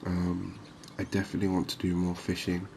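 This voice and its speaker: A man with a deep, very flat voice narrates gameplay in a soothing ASMR style. He speaks softly and takes his time, with long, slow phrasing. Faint ambient noise sits behind his voice. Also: vocal fry. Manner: soft-spoken, unhurried, soothing. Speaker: gaming video host.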